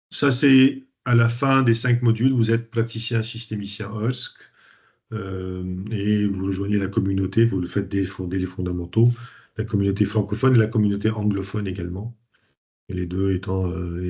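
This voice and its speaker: Male coach from Lebanon, lowish voice, hesitant presenter style, muffled call audio. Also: flat delivery, normal speed, darkish tone. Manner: hesitant, many fillers and restarts. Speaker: coach and trainer hosting a webinar. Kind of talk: informal presentation to an online group. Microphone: video call. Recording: dull lo-fi video call audio.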